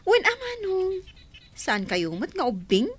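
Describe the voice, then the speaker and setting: A woman's voice: high voice, huge pitch swings, a little slow, exaggerated voice. A woman playing a playful, nosy, teasing younger sister and confidante in a radio drama. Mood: curious, teasing. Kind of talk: Ilocano radio drama acting.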